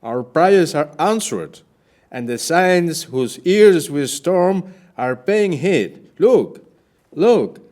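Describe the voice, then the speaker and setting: A man playing a proud, weary Spanish sea captain in an audio play, speaking formal verse in a measured, rhetorical way. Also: fairly high pitch, darkish tone. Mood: awed, exultant.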